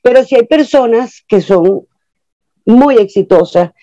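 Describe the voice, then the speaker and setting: A woman in her sixties, a guest on a video call, speaking with expressive energy in a slightly high, mellow voice. The sound is dull and lo-fi, picked up by an earphone mic in a small room at home with a dry, close sound. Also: measured pace, no room sound. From Venezuela. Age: 60s. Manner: expressive, animated storyteller with lots of hand gestures. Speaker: actress and guest. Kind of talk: casual interview chat. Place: small room at home, plain wall behind.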